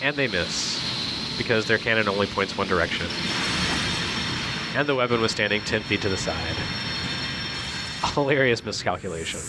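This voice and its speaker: Low-voiced, dryly sarcastic man over noise. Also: lively intonation, moderate pace, pauses often. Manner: dry, sarcastic humour. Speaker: commentator. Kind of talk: casual joking commentary on video game cutscenes.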